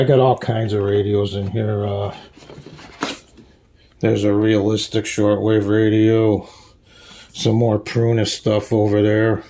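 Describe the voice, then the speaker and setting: A man with a fairly low voice and a gravelly fry gives an informal tour of his collection. He talks slowly and haltingly, feeling for names as he goes. The delivery is unscripted and casual, like a hobbyist showing things off. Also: mellow, slight background noise. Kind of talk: casual show-and-tell narration.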